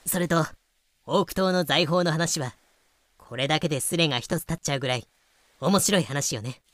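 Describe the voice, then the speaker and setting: A woman's voice: low voice, brisk, a bit bright, no room sound. A woman voicing a frank, knowing lady who explains things drily in an amateur voice drama. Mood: dry, explaining.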